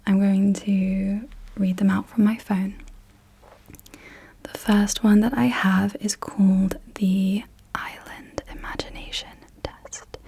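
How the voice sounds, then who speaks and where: A young woman whispering softly and unvoiced as an ASMR host, gently asking slow questions in a guided visualisation, close to the mic. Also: medium pitch, vocal fry, breathy edge. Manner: soft unvoiced whisper throughout. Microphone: close sensitive mic.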